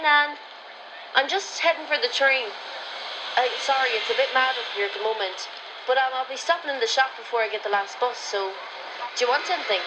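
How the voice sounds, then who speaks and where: Actress as young Irish granddaughter, highish voice, caring and a bit hurried, voicemail with clear background noise. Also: female voice, lightly acted. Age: young adult. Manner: casual, hesitant.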